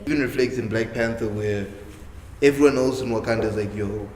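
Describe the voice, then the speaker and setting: A young man talking earnestly in a casual panel discussion, quickening as he tells his stories. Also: on the low side, a little mellow, a little hiss or hum. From Africa. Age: young adult. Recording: echoey room with long reverb.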